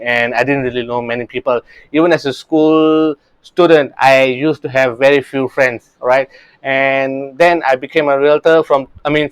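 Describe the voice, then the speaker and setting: A man's voice: mid-range voice, clear, resonant tone, no echo, rough recording. A man in his thirties from Singapore, a real estate coach talking earnestly about his early career, often restarting his sentences. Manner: earnest, many restarts and "you know, right?" tags.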